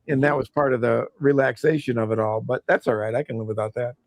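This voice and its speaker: A man hosting a casual live stream, loud voiced and prone to rambling restarts. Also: mid-range voice, level tone, fast talker, creaky, no echo. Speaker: host.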